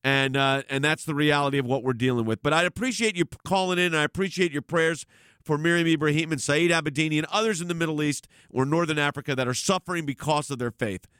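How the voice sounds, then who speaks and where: A man's voice: fairly high pitch, quick pace, fairly bright tone, clean tone, dry recording, studio quality. A man hosting a talk radio show, emphatic and rambling with frequent restarts. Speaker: radio host.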